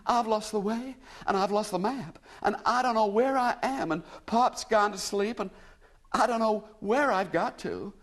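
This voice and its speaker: High, gushing young American woman, put on by a male narrator; tearful, lively, storybook reading. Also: moderate pace. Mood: forlorn.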